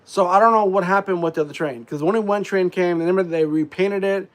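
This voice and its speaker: A congested man rambling casually on a live stream, full of fillers and frequent throat clearing. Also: high-pitched, fast.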